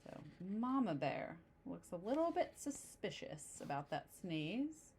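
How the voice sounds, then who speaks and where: A woman reading a picture book to young children, pausing to explain the pictures in simple, chatty asides. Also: medium pitch, very animated, a little mellow, slight background noise. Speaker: storybook reader.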